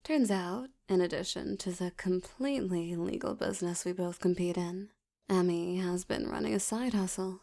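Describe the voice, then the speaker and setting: A woman playing a seductive, menacing mafia boss in an ASMR roleplay, teasing and often slipping into a breathy whisper. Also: on the low side, warm tone. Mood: cool, businesslike. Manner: teasing, slow, velvety, threatening. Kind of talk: ASMR roleplay audio drama.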